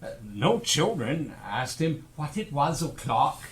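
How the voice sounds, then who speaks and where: A man narrating a stage play as a storyteller, with measured phrasing and dramatic pauses. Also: a little high, big pitch swings. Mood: dramatic storytelling. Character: storyteller describing a cold-hearted miser. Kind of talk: theatrical scripted storytelling. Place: theatre stage, live performance. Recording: live stage recording with noise.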